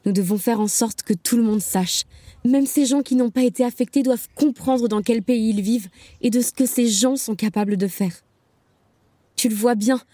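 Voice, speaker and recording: A woman's voice: a little high, mellow, dry recording, natural voice. A woman playing a resolute camp survivor. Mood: insistent. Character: female camp survivor, resolute, urging remembrance. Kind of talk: audio drama acting. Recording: music playing behind the voice.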